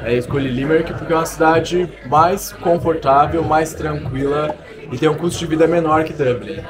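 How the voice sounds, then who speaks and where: A man's voice: a little high, moderate pace, a crowd in the background. A young man from Minas Gerais, an exchange student chatting and joking about his life abroad in a pub. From Minas Gerais, Brazil. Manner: jokey, chatty, self-mocking. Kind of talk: casual interview. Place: pub, indoors.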